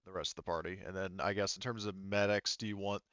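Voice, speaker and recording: A man acting as game master, casually narrating a tabletop game with halting, self-interrupting phrasing. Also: low voice, fairly even intonation, a bit bright, smooth, no echo, dull audio.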